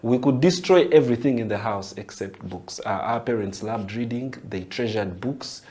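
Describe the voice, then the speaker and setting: Animated Ugandan man telling his story. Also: mid-range voice, moderate pace. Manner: animated storyteller, repeats words and restarts phrases. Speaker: poet and writer, interview guest. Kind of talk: storytelling interview answers.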